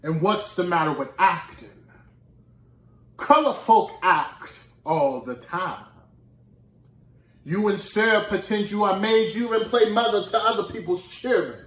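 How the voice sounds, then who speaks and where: A man's voice: high voice, measured pace, a bit bright. Impassioned theatrical character played by a man, echoey. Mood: impassioned, indignant. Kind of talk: theatrical monologue. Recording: echoey room.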